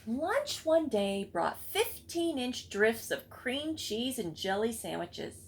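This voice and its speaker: A woman's voice: a little high, sing-song, slow. A woman reading a children's storybook aloud expressively, with chatty asides to listeners. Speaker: read-aloud book club reader.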